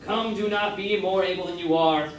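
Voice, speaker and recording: A man's voice: high voice, fairly even intonation, moderate pace, lightly acted. A young man, a student, playing an ardent, brooding lover in verse in an amateur staged reading. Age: young adult. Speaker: student actor. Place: college room, staged reading. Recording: very echoey room, far off, faint noise.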